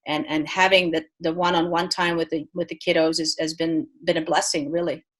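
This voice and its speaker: A woman's voice: sits low, little pitch movement, brisk. A middle-aged woman from Belgium who grew up speaking Dutch and French, a head tennis coach talking earnestly in an interview with frequent restarts. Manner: earnest, with many restarts and fillers. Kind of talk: remote interview.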